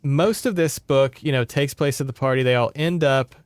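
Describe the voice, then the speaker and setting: A man with a smooth, middle-pitched voice recaps a book as a podcast host. He talks informally and wanders through the story, swearing casually along the way. The sound is very dry, with no room around him. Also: moderate pace, no room sound.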